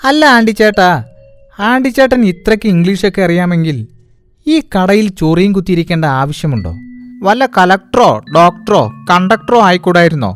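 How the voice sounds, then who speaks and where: Sly cartoon fox, scheming chicken thief, wheedling, exclamatory, music behind. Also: male voice, high voice, lively intonation, brisk, clean tone. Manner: mock-admiring, wheedling, exclamatory. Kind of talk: cartoon dialogue.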